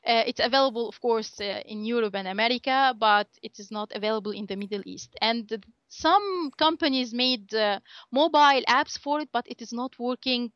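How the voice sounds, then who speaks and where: A woman whose first language is Arabic, speaking as an interview guest and often pausing on filler sounds. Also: on the high side, a bit bright, no room sound, lo-fi sound. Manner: hesitant, many filler sounds, laughs often. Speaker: interview guest, assistive tech volunteer. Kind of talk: conversational interview.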